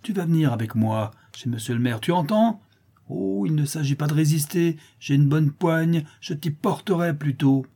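Man acting a furious, rough peasant widow, husky. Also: medium pitch, fairly quick, few pauses, mellow, lightly acted. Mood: bitterly ironic, threatening. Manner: coarse, accusing, exclamatory. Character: rough, bitter, furious forty-year-old peasant milkmaid widow.

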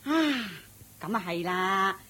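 A woman playing a chatty, effusive middle-aged neighbour auntie in a radio drama, coaxing and quick to chuckle. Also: lowish voice, fairly even intonation, pushed and bright, heavily acted. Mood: curious, coaxing. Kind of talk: Cantonese radio drama acting.

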